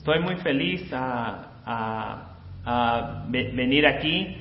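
A man's voice: mid-range voice, lively intonation, slow pace, vocal fry. Hesitant male interpreter in a roomy space. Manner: hesitant, many fillers and restarts. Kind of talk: live consecutive interpreting. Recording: roomy lo-fi recording with faint noise.

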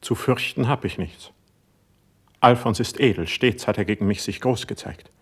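A man acting a proud, wounded and stubborn court poet in a classical verse radio play, speaking in formal, measured declamation with a husky voice. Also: on the low side. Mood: proud, insistent.

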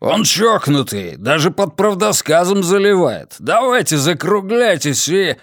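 A man's voice: high-pitched, very animated, a little slow, fairly bright tone, no room sound, studio quality, voice pushed up, exaggerated voice. A man voicing an irritable, brusque henchman leader in an audiobook. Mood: agitated.

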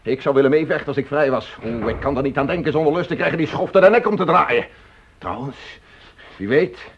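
A proud, pig-headed factory owner in a radio drama, belligerent and rather high in voice. It sounds muffled, with light hiss from an old recording. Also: male voice, animated, a little fast. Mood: fierce, urging. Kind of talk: Dutch radio drama acting.

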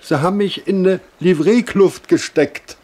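Sly, eager would-be pirate servant. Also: male voice, high voice, unhurried, a little mellow. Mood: aggrieved. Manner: emphatic, folksy, conspiratorial. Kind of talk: 1970s German radio drama acting.

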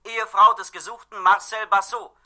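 Sharp, terse police dispatcher. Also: medium pitch, steady tone, brisk. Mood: official. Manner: terse, formal, impersonal. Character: clipped, official police dispatcher reading a wanted bulletin. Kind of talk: German radio play bulletin reading.

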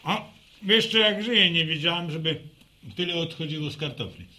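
A man's voice: on the high side, normal speed, bright, forceful tone. A man playing a grumbling, teasing village farmer with repeated hesitations in a rural radio drama.

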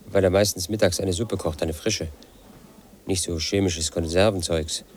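A man's voice: a little mellow, slight background noise, lightly acted. A man playing a brooding, resentful painter. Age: late 30s. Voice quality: low, brooding, bitter inner monologue. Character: brooding resentful house painter, 38, lonely and suspicious. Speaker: protagonist narrating his life. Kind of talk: radio drama monologue.

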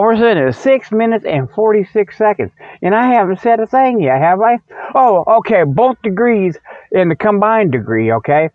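An American man hosting a solo comedy show in a folksy, rambling, repetitive way, drifting between digressions and mock sales pitches. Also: dry recording. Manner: folksy, digressive, repetitive, loses his thread. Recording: muffled, thin phone-like radio sound.

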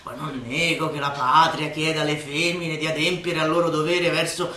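Casting: a scornful, declamatory satirical orator for radio theatre, bright and cutting, heard from afar in a reverberant space. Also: female voice, low voice, little pitch movement, normal speed. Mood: mocking, sardonic. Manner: declamatory, swinging from hushed sneering to shouted outbursts. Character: scornful, vehement satirical orator denouncing tyranny. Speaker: stage and radio actor. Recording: echoey hall, distant mic, faint noise.

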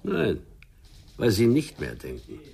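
Commanding deep-voiced police boss. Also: male voice, wildly swooping pitch, slow pace, gravelly, a little mellow, slightly rough, exaggerated voice. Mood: reproving. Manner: commanding, reproving. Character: exasperated, condescending male police superior. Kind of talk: crime radio play acting.

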